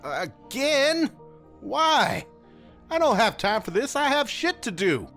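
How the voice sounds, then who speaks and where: A man playing a cocky young masked rider hero who is casual, sarcastic and quick to swear. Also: extremely high pitch, sing-song, a bit bright, faint music behind, heavily acted. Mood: bewildered. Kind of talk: fan audio drama.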